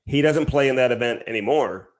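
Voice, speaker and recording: A man's voice: mid-range voice, a little fast. A man co-hosting a podcast in a casual, bantering style, slangy and peppered with "dude" and "man". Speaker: podcast co-host.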